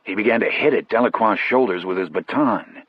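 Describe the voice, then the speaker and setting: A man's voice: lowish voice, a little fast, muffled recording, slight background noise. A man narrating an audiobook in the first person, as a storyteller.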